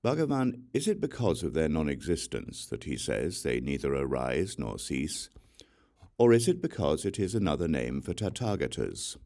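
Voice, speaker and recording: Guy reading a sacred text audiobook, super deep voice but lively intonation, measured phrase by phrase. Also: vocal fry. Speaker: audiobook reader. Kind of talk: scripted reading of a Buddhist scripture.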